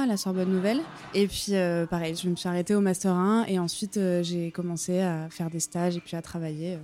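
A woman's voice: lowish voice, mellow. A young woman from France, guest on an interview, speaking thoughtfully and hesitantly with many fillers in a café-restaurant. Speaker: guest, film script supervisor. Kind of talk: conversational interview.

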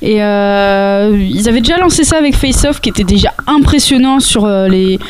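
A woman's voice: medium pitch, animated, moderate pace, slight background noise. A woman giving a casual podcast segment on cosplay, talking with many hesitations and restarts.

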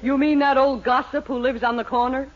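A woman's voice: high voice, fairly even intonation, a little fast. An actress playing a sardonic middle-aged mother with dry, withering sarcasm in a 1940s radio sitcom. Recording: muffled, very noisy old radio broadcast.

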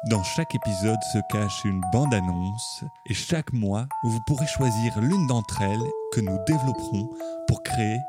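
A man presenting a scripted podcast introduction as the show's announcer. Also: on the low side. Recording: loud jingle music behind voice.

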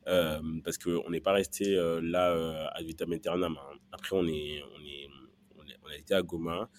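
A man with a very deep voice speaks as an interview guest. He tells a personal story haltingly, repeating words while he looks for the right phrasing. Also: steady tone, slight background noise. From born in Central Africa, raised in Belgium from early childhood. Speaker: podcast guest.

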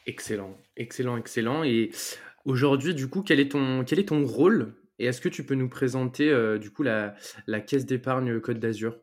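A man hosts a podcast and interviews a guest in a loose, casual way. He hesitates often and repeats words, at a middle pitch for a man. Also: moderate pace, a little mellow. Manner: hesitant, many fillers and repeated words when unscripted. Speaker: podcast host. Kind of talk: scripted intro, then casual interview.

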